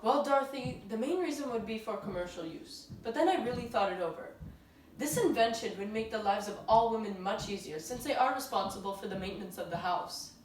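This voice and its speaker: A woman's voice: on the high side, expressive, brisk, lightly acted. A young woman playing a modest, courteous male celebrity guest in a 1920s radio interview, with a rehearsed delivery. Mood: earnest, polite. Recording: echoey room, distant mic, faint noise.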